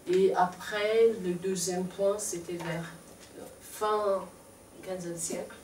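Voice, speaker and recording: A woman's voice: fairly low pitch, darkish tone, slight background noise. Mongolian-accented woman in an echoey hall. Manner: hesitant with frequent fillers. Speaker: interpreter and conference presenter. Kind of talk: live conference talk. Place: lecture room. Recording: echoey room, mic far off.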